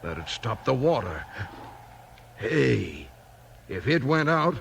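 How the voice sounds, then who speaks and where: Gravelly, sharp-voiced crooked logger henchman. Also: medium pitch, very animated, slow, heavily acted. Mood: grumbling, scheming. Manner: folksy rustic slang, defensive. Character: Chopper, crooked logger henchman, rough and grumbling. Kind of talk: 1950s radio western acting. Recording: noisy old 1950s radio recording.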